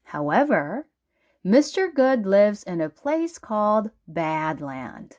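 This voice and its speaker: Woman, children's book narration, extremely unhurried pace, lively intonation, medium pitch, dramatic emphasis. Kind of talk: storybook read-aloud narration.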